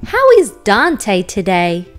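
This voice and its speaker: A woman's voice: medium pitch, wildly swooping pitch, slow, faint music behind. An Australian woman voicing a nice, polite vet receptionist in a children's storybook, with a welcoming manner. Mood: polite greeting.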